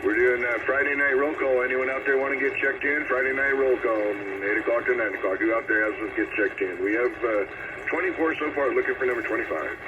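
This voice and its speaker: Through a very noisy, narrow radio signal comes a man's voice, calling a check-in net at a brisk clip. He is courteous and procedural, acknowledging stations as the host. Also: mid-range voice. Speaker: radio roll-call host. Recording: noisy, tinny CB radio transmission. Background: loud radio static.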